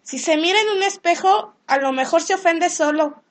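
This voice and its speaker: A woman acts a sassy radio soap housemaid with a very high voice. She is blunt and cheeky, grumbles about hard work and talks back in colloquial speech. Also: moderate pace, slight background noise. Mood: blunt, suspicious. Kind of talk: Spanish-language radio soap acting.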